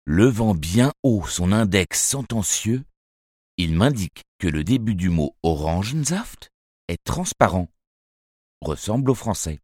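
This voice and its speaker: A man reading a children's novel aloud as first-person narrator, in a measured, expressive storytelling manner. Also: fairly low pitch, dry recording, studio quality. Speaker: audiobook narrator.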